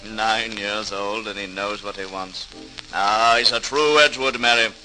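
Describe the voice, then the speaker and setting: A man's voice: lowish voice, steady tone, unhurried, music in the background, natural voice, low-quality recording. An actor playing a proud, kindly potter father, hearty and fond, in a 1940s radio drama. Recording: hissy 1940s radio recording.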